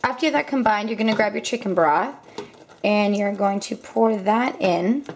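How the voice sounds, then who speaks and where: A young woman casually walking through a home recipe at her stove, talking with lots of ums and quick self-corrections. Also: medium pitch, a little hiss or hum. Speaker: cooking vlogger. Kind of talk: casual cooking demonstration. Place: home kitchen. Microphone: camera mic, filming over the stove. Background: clinking pots and utensils.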